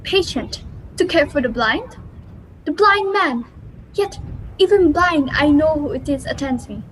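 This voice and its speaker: A blind, forlorn king from Greek tragedy, acted by a woman, speaks in a high voice. The reading is slow and dramatic, with faint noise behind it. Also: lively intonation, rough recording. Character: blind, forlorn Oedipus clinging to faithful companion.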